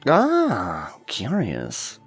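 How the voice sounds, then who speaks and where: A man's voice: on the low side, huge pitch swings, very slow, vocal fry, slightly rough, soft background music, exaggerated voice. A man playing a cynical, aloof fantasy lord and mage, speaking with dry, unruffled condescension in an audiobook. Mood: detached, curious. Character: cynical, aloof male demon-keeping lord and mind mage. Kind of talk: dramatized fantasy audiobook acting.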